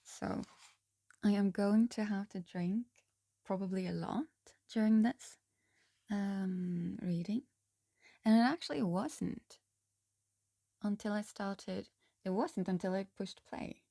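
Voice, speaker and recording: A hoarse young woman speaks slowly in a casual tarot reading, pausing often and restarting her sentences. Her tone is mellow and gravelly with a bit of fry, and the recording is dry and close. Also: mid-range voice, no echo. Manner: slow, halting, soft-spoken with frequent ums and restarts. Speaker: tarot reader.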